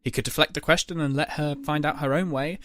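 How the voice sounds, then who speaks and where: A man's voice: on the high side, steady tone, quick pace. A man narrating a scripted dramatic story as the narrator of an audio drama.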